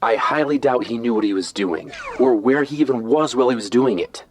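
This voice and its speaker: An actor playing a defiant, sarcastic armored superhero who talks bluntly in an audio drama. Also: male voice, mid-range voice, brisk, a little hiss or hum, close to an everyday voice. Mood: challenging. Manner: blunt, sardonic.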